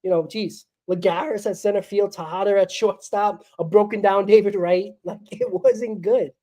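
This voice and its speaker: A woman's voice: mid-range voice, moderate pace, dark tone. A woman hosts a solo postgame fan show, ranting bluntly and with exasperation while sick, her voice crackling and coughing.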